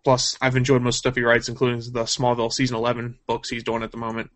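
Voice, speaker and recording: A man with a medium-pitched voice co-hosts an informal geek news stream. He talks like a keen fan, chatty and full of opinions, in an unscripted conversational way. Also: completely flat delivery, quick pace, fairly bright tone. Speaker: show co-host. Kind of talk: casual news chat on a gaming and comics stream.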